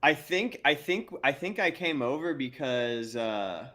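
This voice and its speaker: A man in a middle pitch range who hosts a relaxed interview. He rambles, restarts his words, and keeps breaking into laughter as he talks. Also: a little slow. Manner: rambling, stammering, laughs often. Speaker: podcast host. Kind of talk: casual interview chat.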